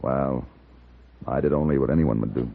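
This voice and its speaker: An actor playing a steady, courteous American gunfighter in a radio western, speaking and narrating in a measured, gentlemanly way. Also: male voice. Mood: kindly. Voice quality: deep, gravelly. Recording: muffled narrow-band old radio, faint hiss.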